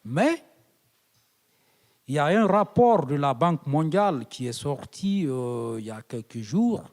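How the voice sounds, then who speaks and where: A man from Guinea addresses a conference in a deliberate, unhurried way. His fairly high voice swells into emphatic, oratorical appeals. The sound carries a long reverb from the hall. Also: big pitch swings, darkish tone. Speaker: invited guest speaker. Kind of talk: conference speech.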